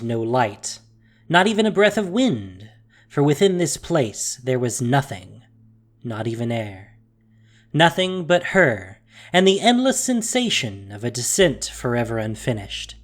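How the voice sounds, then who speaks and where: A man narrating a dramatic fantasy story solemnly, with deliberate pauses, over a music bed. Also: on the high side, big pitch swings.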